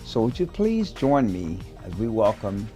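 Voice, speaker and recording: A man's voice: on the low side, very animated, unhurried, mellow, faint music behind. A man hosting an interview show, eager, interjecting and stumbling over his words. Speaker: talk show host. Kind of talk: interview host.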